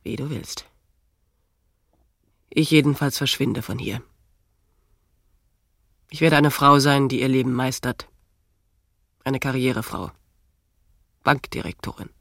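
A female actor playing an exasperated, reproachful bride in a radio play, husky and breathy, insistent and scolding. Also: a bass voice, takes it slowly, long pauses, fairly bright tone, no echo, studio quality, full character voice. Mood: resolute. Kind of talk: radio play dialogue, often hushed.

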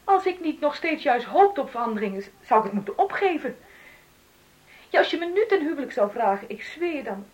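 A middle-aged actress playing an exasperated, sarcastic wife of twenty years in a radio play. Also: female voice, high voice, big pitch swings, a little fast, dull audio. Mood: weary, resigned. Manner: argumentative, sarcastic, pointed. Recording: noisy old radio play recording.

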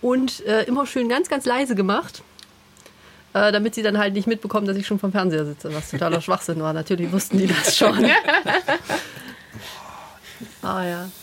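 A woman co-hosting a podcast, telling casual nostalgic anecdotes in relaxed conversation. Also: mid-range voice, normal speed, long pauses, slight background noise. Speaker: podcast co-host.

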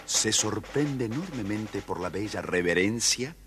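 A man's voice: on the low side, expressive, measured pace, over music. A man reading a scripted children's story as its narrator.

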